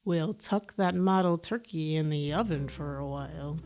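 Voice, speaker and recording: A woman's voice: sits low, normal speed, darkish tone, pitched below the performer's own voice. Woman voicing an emphatic, scheming storybook townsman. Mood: sly. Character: scheming townsman, keen ringleader with a sly plan.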